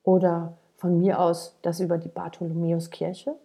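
A woman reading as a gentle, probing father. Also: fairly low pitch, completely flat delivery, moderate pace, gravelly. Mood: questioning. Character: gentle middle-aged father questioning his son.

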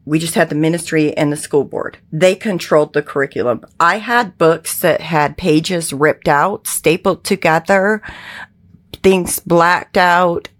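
Low-voiced woman, measured and dry. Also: no echo. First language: Pennsylvania Dutch. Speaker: interview guest. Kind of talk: candid personal testimony.

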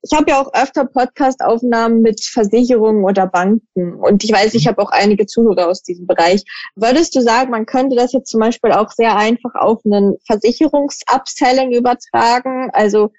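Inquisitive woman hosting, slightly raised pitch. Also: brisk, a little mellow, dry recording, lo-fi sound. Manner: inquisitive, summarizing questions. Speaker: podcast host. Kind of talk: interview. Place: lives in Switzerland for almost ten years.

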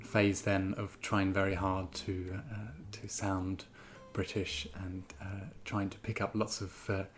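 Hesitant low-voiced man. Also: flat delivery, slow pace. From Germany. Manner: hesitant, many ums and restarts when speaking freely. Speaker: guest writer. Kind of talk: interview answers and reading from his own piece.

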